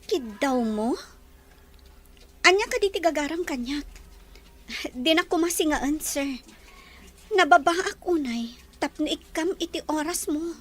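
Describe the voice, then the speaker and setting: A woman's voice: high voice, animated, measured pace, long pauses, a bit bright. An actress playing a shy, humble young working woman in a radio drama, hesitant and deferential. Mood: unsure, surprised. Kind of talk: Ilocano radio drama acting.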